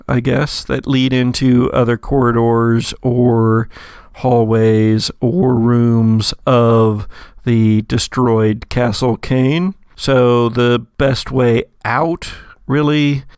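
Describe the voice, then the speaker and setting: A man's voice: mid-range voice, vocal fry, smooth, no room sound, clean studio sound. A man narrating a tabletop horror game as game master, in a descriptive storytelling manner.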